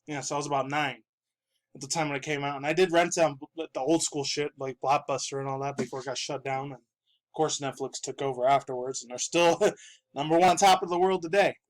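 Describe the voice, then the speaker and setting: A man's voice: a little high, fairly even intonation, brisk. A man in his thirties, a little stuffy-nosed, rambling casually and profanely through gaming news in his room, often laughing. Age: 30s. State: stuffy from dust. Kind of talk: casual video commentary.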